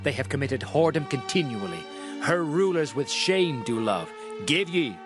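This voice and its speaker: A man's voice: medium pitch, very animated, normal speed. A man narrating scripture in a dramatized style, with a solemn, declamatory delivery as a prophet. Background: music.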